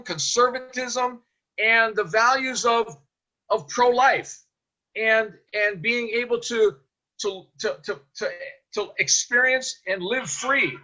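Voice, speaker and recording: A man's voice: very high voice, pushed and bright. An American man in his fifties giving earnest impromptu remarks as a panelist in an online meeting, often repeating words as he goes. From United States. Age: 50s. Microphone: video call. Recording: dull, lo-fi video call audio.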